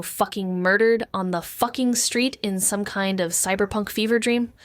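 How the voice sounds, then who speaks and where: A woman's voice: medium pitch, no echo, clean studio sound, natural voice. A woman playing a wry, anxious, sweary amateur sleuth, narrating a noir audio drama in the first person. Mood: rattled.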